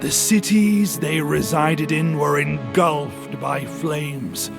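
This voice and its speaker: An actor playing a solemn, zealous narrator of holy vengeance, grave and deliberate, in dramatic scripted narration. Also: male voice, a little high, vocal fry, music in the background. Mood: solemn, grim.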